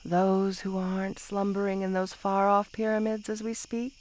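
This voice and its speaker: A woman's voice: medium pitch, flat delivery, a little mellow, lightly acted. A woman playing a possessive, lovesick snake queen in an intimate roleplay ASMR, speaking tenderly with sighs. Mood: dreamy, musing. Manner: slow, tender, sighing, possessive delivery.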